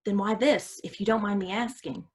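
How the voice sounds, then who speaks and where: An actress playing an easygoing but guarded hostess with a casual, probing manner in a staged play reading. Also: female voice, mid-range voice, fairly quick, vocal fry, lightly acted. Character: easygoing, prying woman host, guarded about herself.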